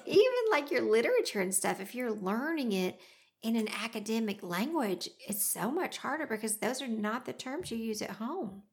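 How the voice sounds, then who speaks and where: A woman's voice: a little high, lively intonation, moderate pace. A woman hosting a podcast in casual chat, chatty and laughing often. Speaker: podcast host.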